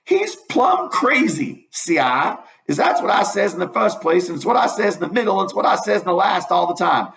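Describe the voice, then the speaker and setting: Man doing a shrill old country gossip, insistent, very high and loud, swooping. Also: big pitch swings, fairly quick, gravelly, hardly any pauses, reverberant, exaggerated voice. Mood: indignant. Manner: shrill, insistent, repeats herself.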